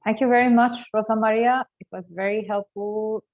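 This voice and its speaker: A woman's voice: on the high side, fairly even intonation, darkish tone, dull audio. Woman moderating an online workshop. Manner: friendly, many filled pauses.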